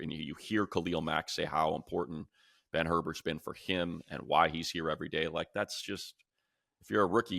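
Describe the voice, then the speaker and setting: A man's voice: very deep voice, creaky, fairly bright tone, no room sound, clean studio sound. A man hosting a sports show, talking casually and conversationally and often acting out what other people said. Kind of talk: casual sports talk and commentary.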